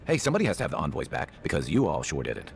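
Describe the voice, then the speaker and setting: An actor playing a brash, sarcastic and loyal companion in a video game, with a gravelly voice. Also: male voice, extremely low pitch, wildly swooping pitch, slight background noise, exaggerated voice. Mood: sardonic. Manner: sarcastic, brisk.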